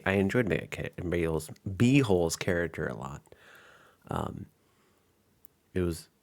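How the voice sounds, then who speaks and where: A man runs the game in casual, appreciative chat. His voice is lowish and heavy with fry, and his intonation moves up and down a lot. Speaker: game master.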